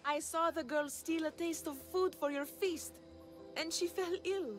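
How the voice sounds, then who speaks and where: A very high-voiced, meek villager. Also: female voice, steady tone, a little slow, faint music behind. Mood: frightened, pleading. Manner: pleading. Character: frightened, meek young villager, submissive and apologetic. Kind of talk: video game cutscene acting.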